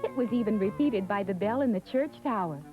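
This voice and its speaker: A woman's voice: medium pitch, expressive, a little fast. A woman narrating a scripted fairy tale as a storyteller. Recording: thin phone-like sound, music behind. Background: music.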